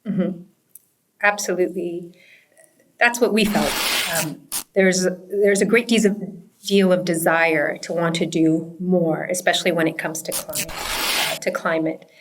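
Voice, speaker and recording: A woman's voice: lowish voice, fairly even intonation, unhurried, noisy background. A woman, answering interview questions in an earnest, reflective, conversational way. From Pakistan and the United States. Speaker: interview guest, startup founder.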